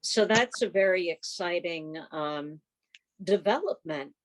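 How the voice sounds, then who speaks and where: A woman's voice: lowish voice, slow. A woman chairing an informal club meeting over a video call, chatty and rambling with wry asides.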